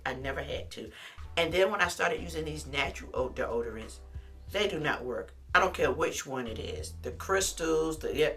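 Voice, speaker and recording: A middle-aged woman talks straight to camera with a deep but bright, ringing voice, chatty and animated. She calls the viewer "honey", and soft music plays faintly behind her. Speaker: lifestyle vlogger. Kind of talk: casual talk to camera.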